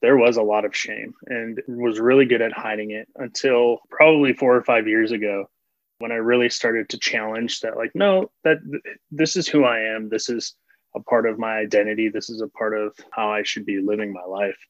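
A man's voice: fairly low pitch, fairly even intonation, moderate pace, no room sound. A young man speaking as a panel guest, earnest and open about personal things. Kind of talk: casual reflective discussion. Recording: dull dry recording.

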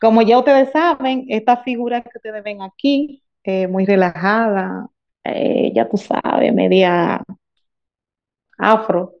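A woman from the Dominican Republic with a Dominican accent, explaining things off the cuff in a halting, emphatic way that repeats words. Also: mid-range voice, steady tone, creaky, muffled recording. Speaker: guest speaker in a group discussion. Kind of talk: unscripted explanation of lived experience.

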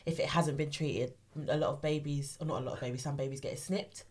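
A woman with a blocked nose, calmly explaining health facts on a radio chat show. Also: low-pitched, fast talker.

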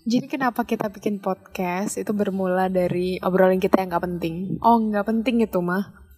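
A woman's voice: mid-range voice, normal speed, darkish tone, husky. A young woman from Yogyakarta with a light Javanese-influenced Indonesian accent, co-hosting a podcast in a playful, teasing way. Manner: playful, teasing, laughs often. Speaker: podcast co-host. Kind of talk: casual podcast chat.

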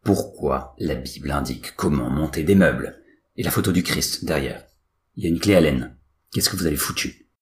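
A man's voice: very deep voice, moderate pace. A man playing an anxious, exasperated professor, agitated, bossy and scolding, in a comic audio story. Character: anxious, exasperated male professor, easily angered.

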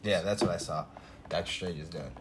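A man's voice: sits low, brisk, heavy background noise. A man in his thirties co-hosting a podcast, blunt and wry with lots of swearing. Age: 30s. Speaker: podcast co-host. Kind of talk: casual chat.

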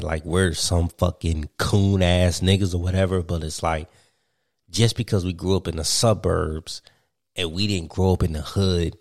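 A man's voice: sits low, a little mellow, no echo, studio quality. A young man from Virginia hosting a casual podcast chat, rambling with lots of fillers and laughing often. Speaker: podcast host.

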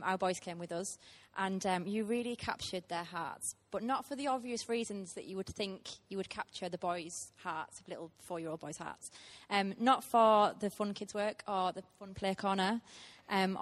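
A woman, preaching a heartfelt sermon to a church with frequent ums. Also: mid-range voice. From Teesside, England. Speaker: guest preacher.

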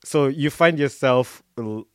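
A man from Uganda hosting a podcast in a relaxed, casual way, leaning on "like" and restarting his sentences. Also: medium pitch, takes it slowly, clean tone, dry recording, clean studio sound. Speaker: podcast host. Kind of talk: casual interview chat. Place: in America.

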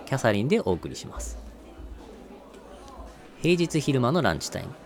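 A man hosting a podcast, explaining and narrating in a polite, casual way. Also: on the low side. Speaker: podcast host.

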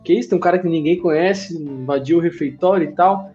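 A man in casual podcast chat, an animated storyteller who acts out other people in a squealing voice. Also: on the high side, a little fast. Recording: slightly dull sound with faint music.

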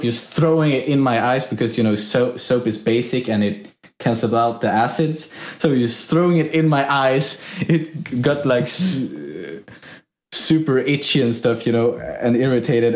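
A young man from Sweden telling a story in a casual, rambling way, laughing often. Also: mid-range voice. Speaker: podcast co-host. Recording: echoey room.

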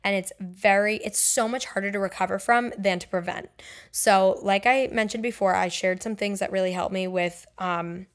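A woman's voice: mid-range voice, fairly even intonation, normal speed. Chatty young woman rambling casually. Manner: chatty, filler-heavy with frequent "like" and "um"; candid and personal. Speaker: podcast host and songwriter. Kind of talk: casual solo podcast chat.

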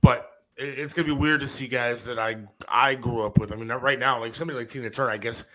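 A man's voice: medium pitch, steady tone, fast talker, sharp tone, slight background noise, poor audio. Easygoing man co-hosting a podcast. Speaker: guest co-host. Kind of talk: casual podcast chat.

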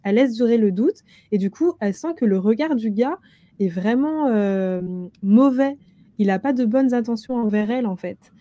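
A woman's voice: fairly high pitch, moderate pace, warm tone, dry recording. A young woman hosting a casual book discussion, speaking in an informal, colloquial way. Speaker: book club host.

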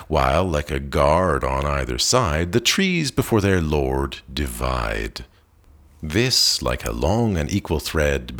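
A man with a very deep voice reads a poem aloud at an unhurried pace. His delivery is expressive, with a lively, rising and falling pitch that climbs on the exclamations. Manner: measured, expressive reading with lively rises on exclamations. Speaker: poetry reader. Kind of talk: poetry reading.

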